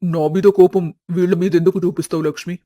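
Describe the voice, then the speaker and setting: A man's voice: high voice, steady tone, a little fast, dark tone, dry recording, lightly acted. A man playing a remorseful middle-aged husband and father in a family story, humble and earnest.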